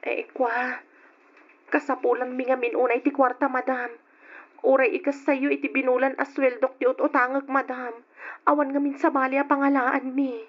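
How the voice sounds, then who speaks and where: An actress playing a poor young maid in debt, timid and pleading, in an old radio drama. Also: female voice, high voice, little pitch movement, moderate pace. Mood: humble. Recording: dull old radio recording.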